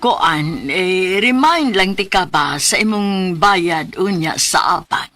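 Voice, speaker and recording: A woman's voice: lowish voice, animated, takes it slowly, soft background music, heavily acted. An actress playing a polite, apologetic middle-aged landlady. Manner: apologetic, gentle. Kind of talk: radio drama acting.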